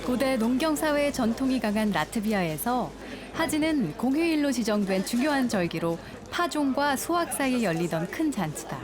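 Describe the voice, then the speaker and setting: A woman reading a calm, lyrical documentary travel narration. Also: on the high side. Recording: studio voice-over with music.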